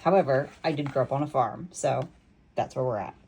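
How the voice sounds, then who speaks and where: A woman's voice: a bass voice, brisk, noisy background. A woman in her thirties reading a children's book aloud with playful, informal, self-deprecating asides. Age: 30s. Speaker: amateur storyteller.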